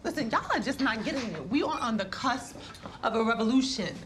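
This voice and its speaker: A woman's voice: a little high, animated, brisk, background noise, close to an everyday voice. An actress playing an exasperated, determined, plain-spoken woman in naturalistic screen dialogue. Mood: exasperated, insistent.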